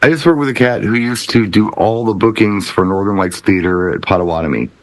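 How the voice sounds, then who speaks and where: A man's voice: on the low side, brisk, no echo. A man co-hosting a casual talk show, explaining things conversationally. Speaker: podcast co-host.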